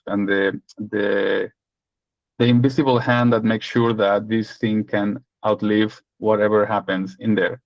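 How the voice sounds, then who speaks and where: A man's voice: lowish voice, no room sound. Mexican accent guy on a video call, joking, long rambly sentences, muffled lo-fi sound. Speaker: legal and data protection lead. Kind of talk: casual update on a community video call.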